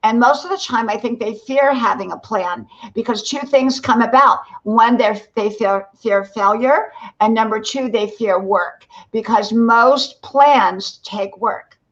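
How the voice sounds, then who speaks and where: This is a woman in her sixties hosting a casual live stream, her voice sitting a little high. She rambles along with plenty of filler, and the sound is muffled and lo-fi. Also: unhurried, hardly any pauses. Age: 60s. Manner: rambling, full of "you know" fillers. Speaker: livestream host. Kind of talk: casual motivational chat.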